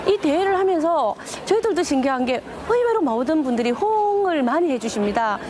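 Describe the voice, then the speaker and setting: A woman's voice: sits high, sing-song, fairly quick, a crowd in the background. A woman organizing the contest, speaking in an interview with frequent hesitant fillers. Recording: outdoor noise behind her.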